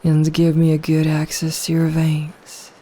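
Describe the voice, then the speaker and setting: A woman playing a seductive, possessive female vampire in an intimate, hypnotic ASMR roleplay, hushed and deliberate. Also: deep voice, completely flat delivery, poor audio. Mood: seductive, hungry.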